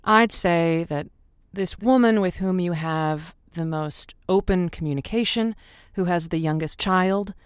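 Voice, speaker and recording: A woman plays a lonely-hearts call-in host with a smooth, lowish voice and dry studio sound. She is wry and teasing, chatting as if improvising on air. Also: measured pace, hardly any pauses, no room sound, close to an everyday voice. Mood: probing, wry. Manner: wry, amused, conversational. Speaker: radio advice call-in show host. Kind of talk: semi-improvised audio drama, call-in talk.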